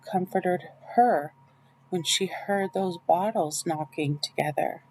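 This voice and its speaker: A woman reading a children's novel aloud in the first person, with expressive storytelling. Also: lowish voice, slight background noise, poor audio. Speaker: audiobook reader.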